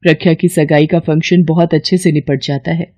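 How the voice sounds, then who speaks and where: A woman's voice: sits low, steady tone, brisk, dark tone. A woman reading scripted story narration as the narrator.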